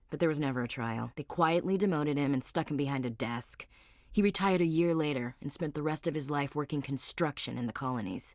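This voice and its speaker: A blunt tough marine, low-voiced. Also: female voice, no echo. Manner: blunt, dry and wry, a military clip. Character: tough, proud woman marine soldier, guarded and blunt. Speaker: game character dialogue. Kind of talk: studio game voice acting.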